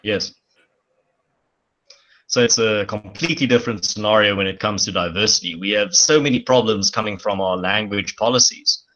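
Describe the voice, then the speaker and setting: A man's voice: lowish voice, moderate pace, fairly bright tone. A South African man, a native Afrikaans speaker, explaining things as a podcast guest with many hesitations and restarts. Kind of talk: casual podcast discussion. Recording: dull lo-fi sound.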